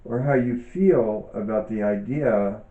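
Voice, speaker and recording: A man reading reflective self-help teaching aloud in a deliberate, measured way, pausing often between short phrases. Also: fairly low pitch. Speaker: author and yoga teacher reading from own book. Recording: dull, echoey room recording.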